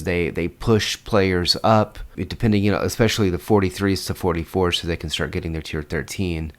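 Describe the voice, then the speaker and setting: A congested man, casually explaining a game update as a strategy guide host, rambling with many fillers. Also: sits low.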